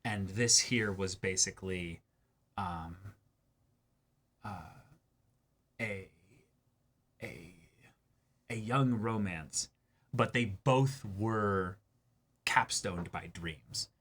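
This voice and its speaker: Bright-voiced man chatting as a show co-host. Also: lowish voice, drawn-out words, long pauses. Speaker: co-host of an anime reaction show. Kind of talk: casual discussion and commentary.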